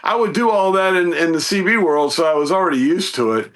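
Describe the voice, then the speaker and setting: A man chatting casually as a podcast guest about his hobby, telling anecdotes in a folksy, animated, rambling way. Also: sits high, quick pace. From United States, Southeastern Virginia.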